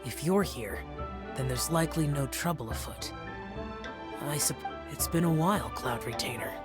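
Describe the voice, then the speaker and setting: With music behind, a man plays a youthful immortal guardian, aloof and stoic. His speech is formal, measured and reserved, in fantasy game style. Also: fairly high pitch, close to an everyday voice. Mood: solemn. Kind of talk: video game voice acting.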